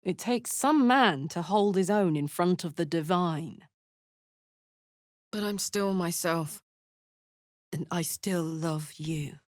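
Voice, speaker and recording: A woman voicing a brash, warm-hearted fantasy warrior in a video game, blunt, informal and expressive. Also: lowish voice, slow pace, long pauses, dry recording, clean studio sound. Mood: warm, tender. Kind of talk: video game character voice acting.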